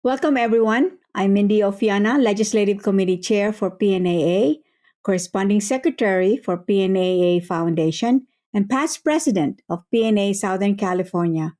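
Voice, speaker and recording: Older woman, podcast co-host, medium pitch, polite and formal. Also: fairly quick, mellow. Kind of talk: polite introduction and interview questions.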